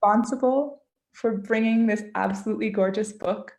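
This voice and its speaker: A woman children's book author talking casually and interactively to children on a video call, expressive and encouraging, often asking questions. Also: mid-range voice, moderate pace, poor audio. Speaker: children's book author giving a read-aloud.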